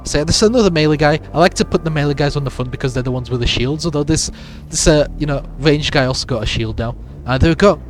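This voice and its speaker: Hoarse man rambling through gameplay. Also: on the high side. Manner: rambling, with many fillers and restarts. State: sick, raspy voice. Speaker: gameplay commentator. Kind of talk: casual let's-play commentary.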